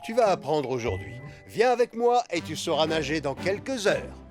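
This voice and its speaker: A woman's voice: low-pitched, big pitch swings, normal speed, over music. A woman voicing a playful, encouraging parent who coaches children in a cartoon. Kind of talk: dubbed children's cartoon acting.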